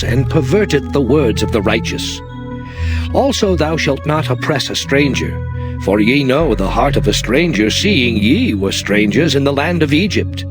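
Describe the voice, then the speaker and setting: A man delivers a dramatized scripture reading with solemn, declamatory weight. His pitch sits in a middle register and moves expressively through each measured phrase, with music clearly behind him. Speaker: Bible narrator.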